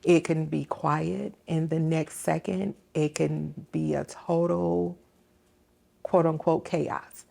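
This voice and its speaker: A woman answering interview questions as a police dispatcher, explaining things conversationally with pauses to think. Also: low-pitched, fairly even intonation, creaky, dark tone.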